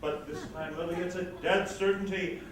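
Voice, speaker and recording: A man's voice: high-pitched, fairly even intonation, normal speed, close to an everyday voice. A man playing a pompous, scheming theatre producer in a screwball comedy reading, grandiose and theatrical in manner. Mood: melodramatic, cajoling. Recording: very echoey hall, far microphone, noise.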